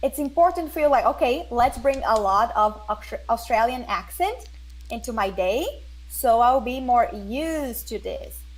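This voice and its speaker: Slightly high-voiced woman giving friendly advice. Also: lively intonation, moderate pace. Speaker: English teacher, podcast guest. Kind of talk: casual chat giving learners advice.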